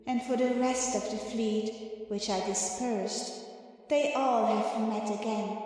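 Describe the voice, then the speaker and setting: Woman as airy spirit servant, cavernous echo, extremely unhurried pace, formal, deliberate, subservient, longing for freedom, Shakespeare audiobook drama. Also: a little high, dark tone. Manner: measured, deliberate, formal delivery. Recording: very echoey, long cavernous reverb.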